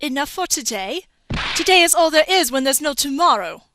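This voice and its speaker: A woman in a game fan dub playing an angry, bitter, sharp-tongued woman, scornful and confrontational. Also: high voice, sing-song, a little fast, noisy background. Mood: furious, scornful.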